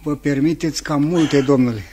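A man's voice: fairly high pitch, fairly even intonation, mellow, natural voice. An actor playing a timid, muttering old male servant in a 1950s radio play. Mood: muttering, resentful. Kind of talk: 1950s radio theatre acting. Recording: noisy 1950s radio recording, distant.

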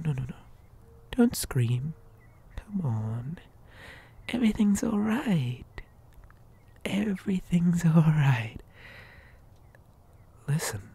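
A woman playing a possessive living doll in an ASMR horror roleplay, gently coaxing with childlike menace in a breathy, hesitant whisper. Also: fairly low pitch, dry recording, full character voice. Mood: coaxing, unhinged. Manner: soft, coaxing, slow and hesitant.

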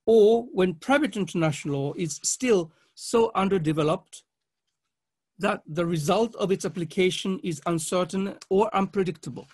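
Guy w high voice, doing a formal conference lecture, careful and halting, short phrases. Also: a little mellow. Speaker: conference speaker, legal academic. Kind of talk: formal academic conference presentation read with slides.

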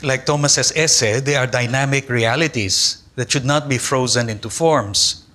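Older male lecturer, Tagalog his first language; bright, measured voice, reads deliberately at a lectern mic in a lecture hall. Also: medium pitch. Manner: measured, deliberate reading with careful pauses. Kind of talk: academic conference lecture read from a text. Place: large lecture hall with an audience. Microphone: lectern microphone.

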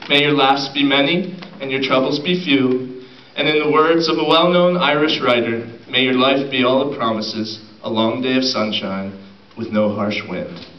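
Man, halting wedding toast, muffled distant sound, echoey hall, public speech. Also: mid-range voice. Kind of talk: prepared speech to an audience. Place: large indoor wedding reception hall. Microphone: handheld PA microphone, picked up by a far camera. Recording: dull, echoey hall, distant camera, crowd noise. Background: crowd of guests.

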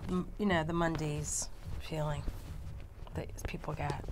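A woman co-hosting a talk show, giving short, flat replies. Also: sits low, measured pace, background noise. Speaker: co-host. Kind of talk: casual chat. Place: studio with green-screen backdrop.

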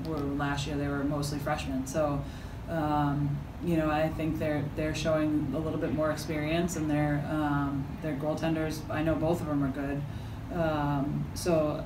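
Woman coach, halting and filler-heavy, echoey press room, mic a few feet off. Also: sits low, recorded from a distance, noisy background. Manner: halting, many fillers and restarts. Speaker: head coach. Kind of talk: postgame press conference answers. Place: press conference room with backdrop. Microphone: table mic from a few feet.

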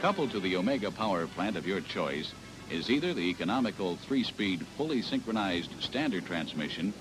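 A man's voice: sits low, very animated, a little fast. An American man narrating a scripted 1970s promotional sales film in a polished announcer's delivery. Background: music.

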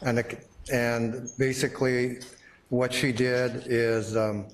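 A middle-aged man giving public comment at a county board meeting, speaking haltingly with many fillers. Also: mid-range voice, level tone, slight background noise.

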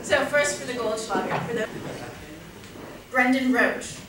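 A woman's voice: on the high side, unhurried, a crowd in the background. Young woman hosting, echoey distant hall. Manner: chatty, informal. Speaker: event host. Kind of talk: casual event hosting. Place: wood-panelled hall, large indoor room. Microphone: lectern mic, far camera. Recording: echoey hall from far off, noisy.

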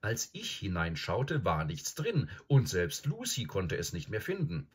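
A skeptical young schoolboy acted by a man, lifted in pitch with an animated melody. He is polite and earnest, puzzling things out in a storybook tale. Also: measured pace, breathy edge, exaggerated voice. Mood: skeptical, insistent. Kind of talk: audiobook character acting.

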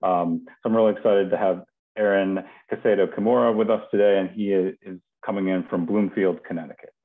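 Young male host, low voice, halting informal introductions, tinny phone-like video call sound. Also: fairly even intonation. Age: young adult. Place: home kitchen, on video call.

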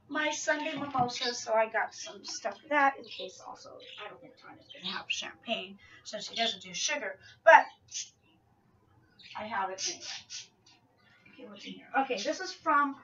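A woman's voice: a little high, pushed and bright, a little hiss or hum. Chatty woman on a distant camera mic. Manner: chatty, rambling, self-correcting. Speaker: vlogger. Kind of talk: casual grocery haul vlog. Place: small home kitchen, indoors. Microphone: camera mic from a few feet away. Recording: distant, dull lo-fi camera audio.

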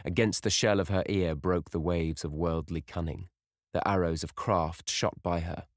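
A man narrating a classic novel in a measured, unhurried way. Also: sits low, steady tone, dry recording, clean studio sound. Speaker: audiobook reader. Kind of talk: scripted narration.

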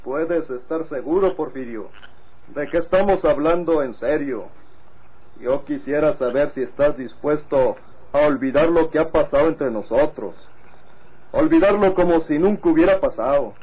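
A calm, courteous Mexican official in an old radio serial. His voice is dark and husky, pitched a little high. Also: moderate pace, low-quality recording. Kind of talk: old-time radio drama acting.

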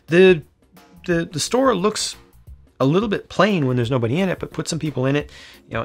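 A man in his thirties, unscripted, giving an opinionated toy review with lively gestures, recorded indoors in front of shelves. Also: mid-range voice, slightly rough. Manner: animated hand gestures, opinionated. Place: indoor room with storage shelves.

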